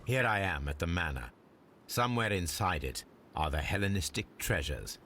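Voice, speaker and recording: A shrewd detective from a mystery game, with a low voice that moves freely up and down. He speaks deliberately and precisely, as if weighing each piece of evidence. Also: big pitch swings, background noise. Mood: musing, deliberate. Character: shrewd detective posing undercover as a lockpick thief. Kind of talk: scripted video game acting.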